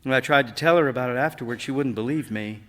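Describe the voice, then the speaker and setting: A gentle, patient widowed father voiced by a man reading fiction talks conversationally to his child. The voice sits at a medium pitch in a long, reverberant hall. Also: quick pace, natural voice. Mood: explaining.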